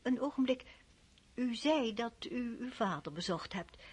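A woman's voice: a little high, lively intonation, unhurried, a little mellow, slightly rough. An actress playing a dignified, courteous middle-aged countess and mother in a radio play.

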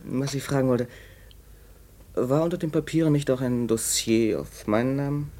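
An actor playing an uneasy, hot-tempered young man with secrets, probing and sometimes halting, in a 1950s German radio play. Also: mid-range voice, mellow, lightly acted.

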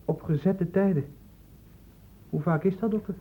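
A man's voice: high voice, normal speed, slightly rough. Indignant young spokesman, tinny old recording. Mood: indignant, insistent. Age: young adult. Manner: challenging, insistent, questioning. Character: indignant young man of twenty-one, spokesman for his friends. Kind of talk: Dutch radio drama acting. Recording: muffled, narrow-band old radio recording, noisy.

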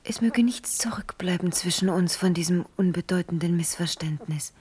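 Court lady for radio play, very breathy, dark muted whisper, conciliatory. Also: lowish voice, normal speed. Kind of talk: German radio drama acting.

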